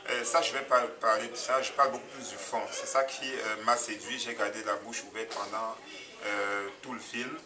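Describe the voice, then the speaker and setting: A man's voice: fairly low pitch, steady tone, moderate pace. A man from Cameroon, giving a spontaneous, earnest public comment as an audience member, hesitant, with many fillers and restarts. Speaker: audience member praising a documentary. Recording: distant mic with crowd noise.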